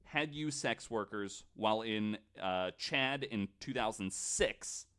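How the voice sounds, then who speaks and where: A man's voice: on the low side, slow, fairly bright tone, clean tone. A man casually telling a researched story on a podcast, in an informal, somewhat hesitant way.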